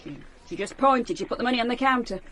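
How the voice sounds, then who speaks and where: A woman's voice: on the high side, expressive, quick pace, a bit bright, no room sound. An actress playing a defensive, grudging shop woman in a radio crime drama, grumbling in colloquial speech.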